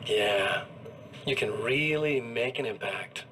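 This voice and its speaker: A man's voice: medium pitch, pushed and bright, slight background noise. An actor playing a gleeful, menacing evil doppelganger in a video game cutscene, taunting and drawing out his words in mockery. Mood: gloating. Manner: taunting, drawn-out and mocking.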